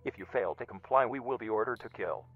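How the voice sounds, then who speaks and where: A man playing a cold masked male kidnapper, delivering flat, menacing threats in a video message. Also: mid-range voice, fast, close to an everyday voice. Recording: muffled video playback with music.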